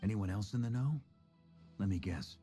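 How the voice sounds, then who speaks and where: A man playing a breezy, sharp-witted, teasing drinking companion in a video game, with a playful manner. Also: fairly low pitch, normal speed, soft background music, lightly acted. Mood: sly, light.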